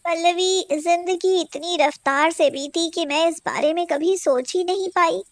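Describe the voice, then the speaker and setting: Woman acting poor, proud mother, high-pitched voice. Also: measured pace, no echo, clean studio sound. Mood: sorrowful, resigned. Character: poor, hardworking mother of five daughters, weary yet proud.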